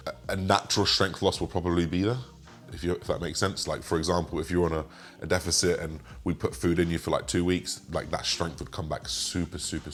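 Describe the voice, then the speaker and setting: A man in his twenties with a slightly raspy voice, chatting casually as he answers viewer questions and rambles with fillers. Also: deep voice. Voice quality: raspy today. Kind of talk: casual Q and A monologue.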